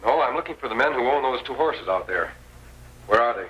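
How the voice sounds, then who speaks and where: A man's voice: medium pitch, expressive, a little fast, highs cut off, close to an everyday voice. An actor playing a masked lawman hero in an old Western radio drama, with an American accent and an earnest, authoritative delivery. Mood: firm, calm. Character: masked lawman hero, steady, commanding, upright. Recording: old radio recording, faint noise.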